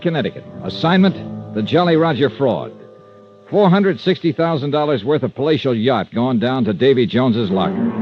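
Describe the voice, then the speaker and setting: An actor playing a determined, wry freelance insurance investigator in a vintage radio drama, with an American accent and clipped, punchy delivery. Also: male voice, medium pitch, natural voice. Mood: brisk, businesslike. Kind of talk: 1950s radio detective drama, narration and dialogue. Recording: dull vintage radio recording with loud music.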